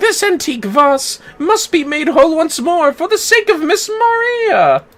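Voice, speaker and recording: Man putting on a florid gentleman hero, game character, earnest, overdramatic, very high swooping pitch, slow. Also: sing-song, full character voice. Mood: pleading. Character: melodramatic gentleman adventurer, earnest grown son.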